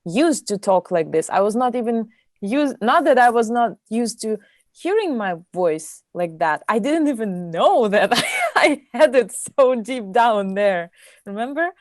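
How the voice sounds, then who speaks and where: Woman, 30s, low voice, reminiscing, laughs a lot, dry room. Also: very animated, unhurried, no room sound. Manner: warm, reminiscing, laughs often. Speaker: interviewer, host. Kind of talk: casual interview chat.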